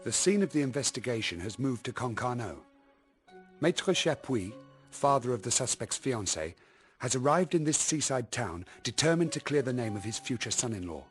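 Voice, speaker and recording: Man playing news reporter, formal, mid-pitched, scripted bulletin, measured, radio drama, light music underneath. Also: sing-song, close to an everyday voice.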